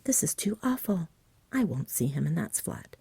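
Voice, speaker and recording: Lady doing a lazy fussy polite dragon, breathy whisper, very close and dry, bedtime story. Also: low-pitched, very animated, normal speed, dark tone, dry recording, exaggerated voice. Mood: plaintive, fussy. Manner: languid, polite, mildly plaintive. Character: lazy, genteel, fussy dragon who refuses to fight. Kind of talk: whispered ASMR storybook reading.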